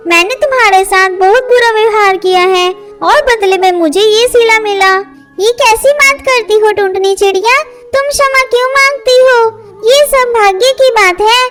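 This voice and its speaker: A woman voicing a young girl bird in a Hindi children's cartoon. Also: very high voice. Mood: earnest. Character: young girl birds, one haughty rich, one humble poor. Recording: loud music bed under voice. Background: loud music.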